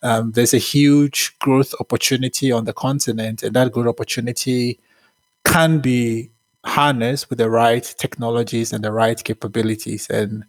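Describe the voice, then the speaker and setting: A man from Ghana, around his thirties, explains his work as a tech founder. He talks in a measured, thoughtful way, often pausing on small fillers. His voice has a gravelly edge and sits close and dry on the mic. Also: medium pitch, fairly even intonation, no room sound. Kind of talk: podcast interview answers.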